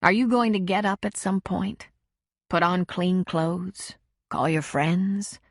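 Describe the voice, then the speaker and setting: A woman voicing a calm, patient counselor who asks gentle, probing questions. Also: lowish voice, slow, no room sound, studio quality.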